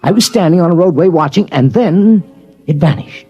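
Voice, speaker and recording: A man's voice: on the high side, normal speed, slightly rough. An actor playing an earnest young father who narrates his story thoughtfully, in a 1950s radio drama. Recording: muffled old radio broadcast, faint music.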